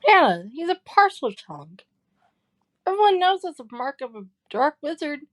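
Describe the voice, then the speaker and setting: Woman acting an animated, high-pitched gossipy schoolchild. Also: moderate pace, dry recording. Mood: insistent, suspicious. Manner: insistent, gossipy, emphatic. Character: gossipy, insistent young schoolchild convinced of rumours. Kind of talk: audio drama character acting.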